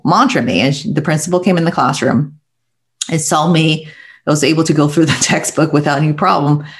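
Reflective Taiwan-born woman telling her story. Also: low voice. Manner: reflective, halting, with small restarts. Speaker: guest. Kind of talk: conversational interview storytelling.

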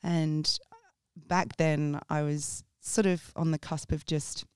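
A woman's voice: low voice, little pitch movement, slow pace, darkish tone, clear, resonant tone, dry recording, studio quality. A woman from England with a mixed Sussex and Essex English accent, chatting freely as an interview guest, rambling with restarts. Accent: English, mixed Sussex and Essex with a touch of Australian. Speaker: guest, jazz singer. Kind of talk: casual interview chat.

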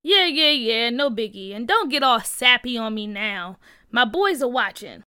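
Boy ringleader female storyteller acting: cocky, slangy, high-pitched, bright, children's story. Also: animated, measured pace, smooth, no room sound, studio quality. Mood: gruffly sincere. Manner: slangy, swaggering. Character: cocky teasing boy ringleader with a hidden heart. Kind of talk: acted children's story dialogue.

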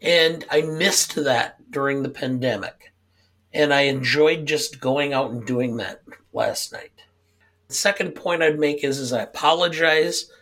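A middle-aged or older American man chatting casually about films, halting and measured, his voice scratchy from a cold. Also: fairly high pitch, fairly bright tone. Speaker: podcast co-host. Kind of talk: casual film chat.

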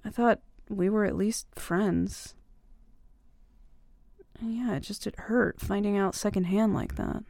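Young woman, voice actress, creaky voice, teasing older-sister type, casual halting delivery, intimate roleplay, very dry recording. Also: mid-range voice, fairly even intonation, a little mellow, no room sound. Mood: hurt, upset. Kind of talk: intimate ASMR-style romantic audio roleplay.

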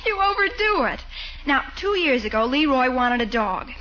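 Affectionate young niece, knowing and teasing, high-pitched, dull noisy vintage radio recording. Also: female voice, very animated, normal speed, sharp tone, exaggerated voice. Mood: teasing, fond. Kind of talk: 1940s radio comedy acting.

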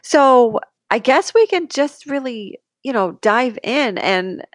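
A woman's voice: a little high, animated, slow pace, dry recording, clean studio sound. A woman co-hosting a casual podcast, talking in a hesitant, searching way. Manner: hesitant, full of ums and restarts. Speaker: podcast co-host.

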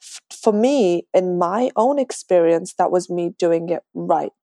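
A woman's voice: on the low side, unhurried, vocal fry, warm tone, no room sound, studio quality. A woman in her twenties with a slightly Americanised London accent, chatting casually as a podcast guest. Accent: London English, slightly Americanised. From London, England. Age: 20s. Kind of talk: casual conversational interview.

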